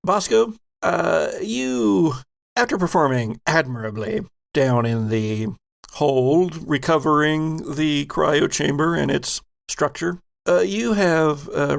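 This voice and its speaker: A man running a tabletop game as game master, narrating the scene slowly and with care. His voice has a gravelly edge. He paces each description deliberately. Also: fairly high pitch, lively intonation.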